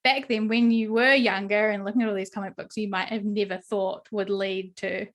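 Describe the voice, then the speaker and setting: A woman's voice: medium pitch, fairly even intonation, normal speed, fairly bright tone. A young adult woman with an Australian accent hosting a podcast interview, upbeat and chatty with frequent "um" and "like". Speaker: podcast host and brand coach.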